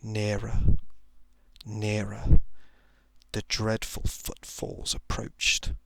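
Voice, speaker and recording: Male ASMR narrator for a suspense story, low gravelly hush, very dry close sound, dramatic phrasing. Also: completely flat delivery, drawn-out words, no room sound. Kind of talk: whispered ASMR reading of a thriller short story.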